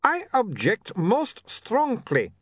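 A man's voice: high-pitched, huge pitch swings, slow, slightly rough, no room sound, voice pushed up, exaggerated voice. A man reading the part of an earnest, formal male vampire photographer with a stiff foreign accent. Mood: indignant. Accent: Uberwald. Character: eager prim male vampire photographer, young.

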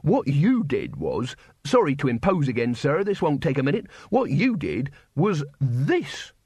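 A man's voice: huge pitch swings, a little slow, a little mellow, slightly rough, dry recording, full character voice. A man doing a scolding older crook. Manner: scolding, theatrical, climbing high in pitch. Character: exasperated older male street-crime tutor.

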